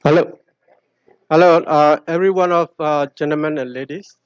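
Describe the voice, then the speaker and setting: A man, a father giving a hesitant public comment in halting English full of fillers. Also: a little high, steady tone, clean tone, no echo, muffled recording. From Burma. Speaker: parent speaking at public hearing.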